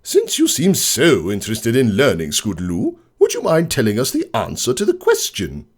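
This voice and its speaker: A man's voice: medium pitch, huge pitch swings, normal speed, slightly rough, pitched above the performer's own voice. A man voicing a stern, contemptuous old female pony schoolteacher with a clipped, scolding, superior manner in a storybook reading.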